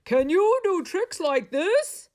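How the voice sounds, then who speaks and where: A woman voicing an excitable, boastful male toad who cries out dramatically in an animated storybook read-aloud. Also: extremely high pitch, slow pace, pitched above the performer's own voice, exaggerated voice. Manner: crying out, exclaiming dramatically.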